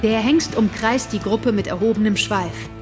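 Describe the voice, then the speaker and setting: A woman tells the story of a radio play in an even, calm way. Her voice sits at a middle pitch. Soft music plays under her words. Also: moderate pace. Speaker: narrator. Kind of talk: scripted narration of a radio play.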